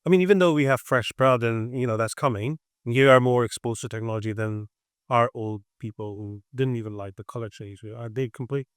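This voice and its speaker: Male, mid-pitched and clear, emphatic conversational expert, dry close sound. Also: fairly quick, no echo. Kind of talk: animated industry discussion.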